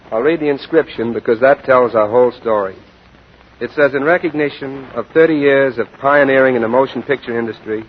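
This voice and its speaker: A muffled old radio broadcast with faint noise carries a man of medium pitch. He reads aloud as a presenter, in formal scripted style. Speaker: presenter of an award. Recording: dull, noisy, distant 1940s radio.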